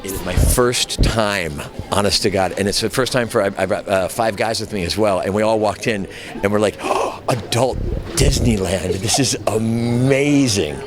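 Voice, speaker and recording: A man's voice: lowish voice, noisy background. A man joking easily through a premiere carpet interview. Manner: joking, quick, easygoing. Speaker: actor guest. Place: outdoor premiere carpet at a venue. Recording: busy crowd behind.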